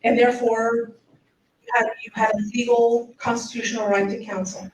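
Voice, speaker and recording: A middle-aged woman from Mexico speaks English in a slightly high voice, earnest and persuasive. She is a public defender at a podium taking questions. She sounds echoey and far from the mic, with faint room noise. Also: flat delivery, moderate pace. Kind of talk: public speech and audience Q&A.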